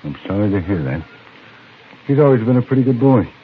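A man's voice: lowish voice, animated, a little fast, husky. A man playing a folksy, plainspoken rancher with a rural American accent in a 1950s radio western. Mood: regretful. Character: folksy, easygoing Old West rancher. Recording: muffled, noisy vintage radio recording.

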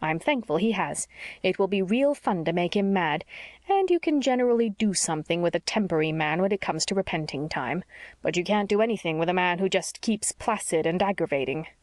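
A woman voicing a blunt, sharp-tongued older spinster in an audiobook story, with a brisk, scolding manner. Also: on the low side, lively intonation, no echo, clean studio sound, lightly acted. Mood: tart.

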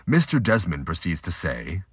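A man with a husky voice reading scripted narration for an audio drama. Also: low voice, huge pitch swings, normal speed, no echo, studio quality.

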